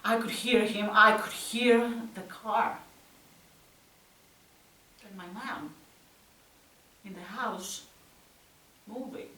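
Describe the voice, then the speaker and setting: A woman acting a tense, troubled youth haunted by violent parents in a halting, intense stage monologue. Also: a little high, level tone. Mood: anguished, agitated. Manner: slow, halting, intense, from hushed to loud. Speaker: actress performing a solo monologue. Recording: roomy, distant mic, faint noise.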